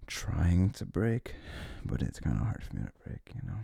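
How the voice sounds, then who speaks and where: A man with a husky, creaky voice rambling informally and haltingly to one listener in an intimate ASMR chat, close to the mic. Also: low voice, sing-song, mellow, dry recording. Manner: sniffles habitually. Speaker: ASMR audio performer.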